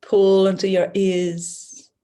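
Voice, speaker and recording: A woman teaching yoga nidra, giving gentle, soothing, unhurried guidance through a relaxation practice. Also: medium pitch, flat delivery. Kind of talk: guided relaxation instruction.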